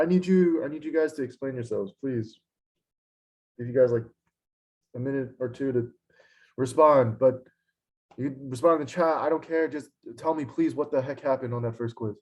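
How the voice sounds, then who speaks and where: A young man with a hoarse voice teaches a class over a video call. He scolds the students in a frustrated way, filling his talk with "um" and "like." The sound is that of a computer microphone. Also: medium pitch, darkish tone. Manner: scolding, frustrated, many fillers. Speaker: instructor. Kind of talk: online lecture. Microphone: computer mic on a video call.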